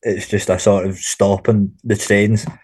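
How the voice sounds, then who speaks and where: A man's voice: on the low side, level tone, measured pace, darkish tone, breathy edge, no room sound. A Scottish man with a Glasgow accent, a podcast guest chatting informally in slang with the odd swear word. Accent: Glaswegian Scottish. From Glasgow, Scotland. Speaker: podcast guest, rock band frontman. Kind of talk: casual chat.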